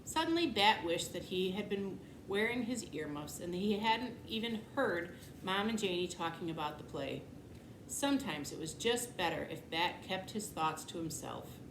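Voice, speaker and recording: A woman reading a children's storybook aloud in an expressive narrating style. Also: medium pitch. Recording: echoey room, clear background noise.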